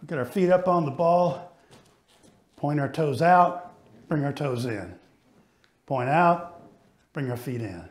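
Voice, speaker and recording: A middle-aged man coaching ski fitness, explaining each exercise calmly while he demonstrates it in a studio. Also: fairly high pitch, slow pace, pauses often. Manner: patient, explanatory, talks while moving.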